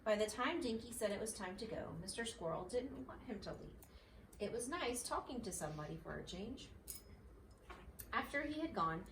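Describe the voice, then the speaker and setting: A woman's voice: fairly high pitch, lively intonation, normal speed. A woman narrating a children's storybook aloud expressively, as a mother reads. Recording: roomy, distant mic, faint noise.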